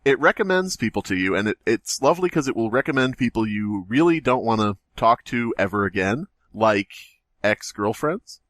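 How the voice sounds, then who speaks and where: Male comedy podcast host, very lively mid-range voice, sarcastic casual storyteller, close dry sound. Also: lowish voice, normal speed, no room sound. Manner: animated, sarcastic storytelling, profane. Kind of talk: casual comic chat.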